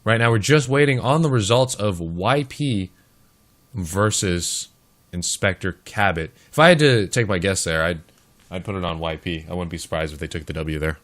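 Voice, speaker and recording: A man hosting a live esports stream, casting matches in casual chat and talking straight to the viewers, his voice a little hoarse. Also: sits low, normal speed, fairly bright tone. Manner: chatty, talks straight to the chat. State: slightly hoarse. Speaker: esports caster and stream host.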